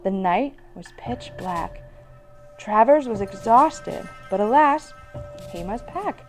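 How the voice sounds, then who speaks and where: A woman's voice: medium pitch, expressive, takes it slowly, a little mellow, soft background music. A woman host narrating a rhymed ghost story in storybook style.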